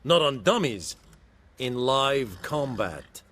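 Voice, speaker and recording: An actor playing a coolly challenging military commander in a fantasy game, formal, measured and condescending. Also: male voice, mid-range voice, very animated, pushed and bright, full character voice. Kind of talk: fantasy video game acting.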